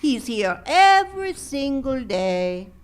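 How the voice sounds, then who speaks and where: Woman acting a slow, lilting, gracious hotel manager. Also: high voice, sing-song, a little hiss or hum, full character voice. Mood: breezy. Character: gracious but odd female hotel manager, brisk and callous. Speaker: actor in live radio drama. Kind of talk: comic radio play acting.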